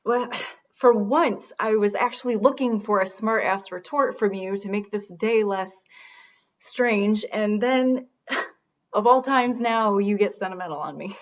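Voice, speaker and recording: A woman's voice: mid-range voice, unhurried, natural voice. Woman acting a wry woman, slightly muffled. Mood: wry, softened. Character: wry, tipsy woman radio show host, regretful and lonely. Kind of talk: comedy radio drama acting.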